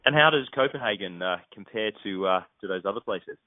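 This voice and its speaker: A man with a low-pitched voice hosts a professional interview. He phrases his questions politely and a little haltingly. The recording is dry and somewhat dull. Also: animated, no echo. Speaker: interviewer, host.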